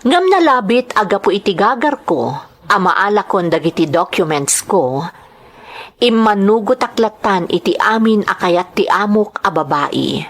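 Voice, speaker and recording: A woman's voice: medium pitch, expressive, measured pace. A woman with a Filipino accent giving scripted, dramatic story narration.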